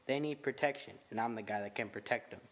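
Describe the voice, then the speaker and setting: A man's voice: on the low side, fast talker, a bit bright, a little hiss or hum. A man playing a greedy, tough-talking chauffeur and bodyguard in an old-time radio drama, speaking in slangy, drawling, ungrammatical street talk. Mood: wheeler-dealing.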